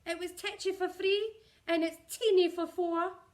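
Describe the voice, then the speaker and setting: Woman acting an exasperated little old lady, high-pitched. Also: steady tone, measured pace. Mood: frantic despair. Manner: dramatic, wailing complaint in rhyme.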